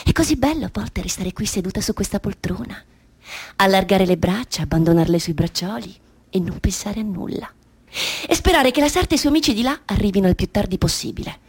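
A woman's voice: medium pitch, animated, a little fast, darkish tone, slightly rough. A woman playing a bored, capricious schoolgirl in a radio drama monologue, musing in a girlish, petulant manner. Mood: dreamy, sly.

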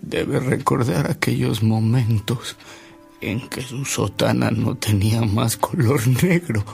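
An actor playing a respectful man recounting memories in a radio drama, in a measured, reminiscing way. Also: mid-range voice, vocal fry, darkish tone, faint music behind. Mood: fond, reminiscing.

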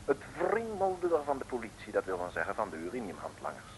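A man's voice: lowish voice, huge pitch swings, fast talker, rough recording. A man narrating an old radio serial in a playful, theatrical, mock-heroic scripted style. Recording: old radio recording, faint noise.

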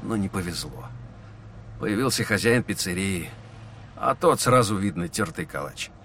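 A man playing a patient police lieutenant who talks in a measured, explanatory way. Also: low voice, expressive, a bit bright, a little hiss or hum. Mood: explaining. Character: weary young male police lieutenant, patient. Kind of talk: audio drama acting.

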